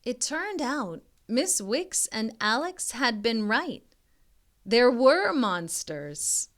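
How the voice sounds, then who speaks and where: A woman reading a children's story aloud as a tutor, expressively and deliberately, with informal, encouraging asides to young listeners. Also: on the high side, big pitch swings. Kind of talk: modeled children's read-aloud with chatty asides.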